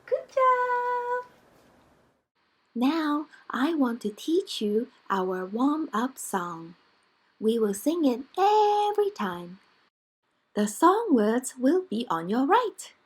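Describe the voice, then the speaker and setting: A woman's voice: sits high, sing-song, takes it slowly, pauses often. A woman working as a children's teacher, giving short, simple, encouraging instructions in a lesson for young children.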